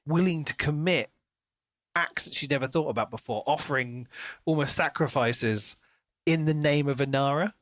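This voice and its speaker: A man's voice: fairly high pitch, moderate pace, fairly bright tone, no echo. Man chatting casually, close dry sound. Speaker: podcast co-host. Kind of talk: casual book discussion.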